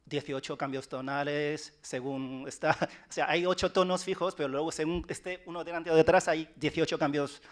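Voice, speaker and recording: A man's voice: on the high side, fairly even intonation, moderate pace. A man in his forties from China, whose first language is Wenzhounese, talking on a panel as a writer, chatty, with frequent restarts. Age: 40s. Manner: warm, chatty, laughs often, many restarts. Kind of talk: panel talk.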